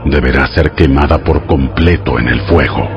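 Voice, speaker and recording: Deep-voiced man reading scripture solemnly. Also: muffled recording. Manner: measured, formal, instructive delivery. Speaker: audio Bible narrator. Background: background music.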